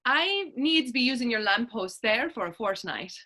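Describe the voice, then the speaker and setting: A woman's voice: on the high side, lively intonation, moderate pace, pushed and bright. A woman playing Hannah, a spirited, determined Irish actress and patriot, in staged readers theater dialogue with a coaxing, earnest lilt.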